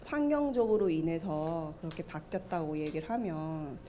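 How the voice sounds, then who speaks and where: A woman's voice: low voice, normal speed, warm tone, slight background noise. A woman with a cold, asking a follow-up question at a church Q&A in a hesitant, apologetic way. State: has a cold, voice off.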